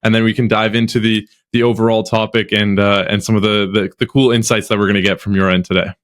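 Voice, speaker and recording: A man's voice: lowish voice, little pitch movement. A man hosting a podcast interview, speaking casually and often restarting and repeating words. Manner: halting, repeats words as he talks. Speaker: podcast host.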